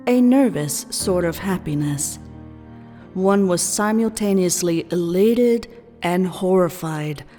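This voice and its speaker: A woman narrating a scripted fan fiction story in a measured storybook style. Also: fairly low pitch, warm tone. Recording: long reverb, music behind.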